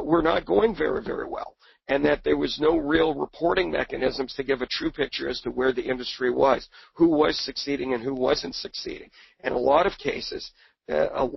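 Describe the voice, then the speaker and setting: A man presents in a webinar, his voice hoarse and raspy from a cold, with a heavy gravelly edge and a breathy rasp. He rambles and repeats words as he searches for his point. The sound is dull and muffled, with no room echo. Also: medium pitch. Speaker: book co-author presenting on a webinar.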